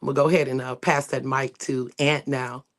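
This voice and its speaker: A woman's voice: a bass voice, flat delivery, brisk. A woman hosting a casual community panel over a live video call. Her talk is loose and rambling, and she restarts sentences as she goes. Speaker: panel host.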